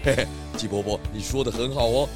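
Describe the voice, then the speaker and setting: A man voicing a clever, genial pig detective in a children's audio drama, speaking in a deliberate, explanatory way. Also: medium pitch, music in the background, lightly acted. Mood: approving.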